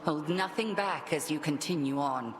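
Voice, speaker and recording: A woman voicing an imperious witch mentor in a video game, speaking in a formal, measured, archaic manner. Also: sits low, background noise, natural voice. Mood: stern, testing. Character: imperious, testing witch mentor with commanding poise.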